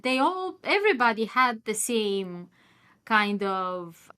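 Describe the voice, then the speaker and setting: A woman speaks slowly in a high, bright voice. She hosts a podcast conversation and thinks aloud in long, reflective sentences. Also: big pitch swings, smooth. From Romania. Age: 50s. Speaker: podcast host. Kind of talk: casual interview chat.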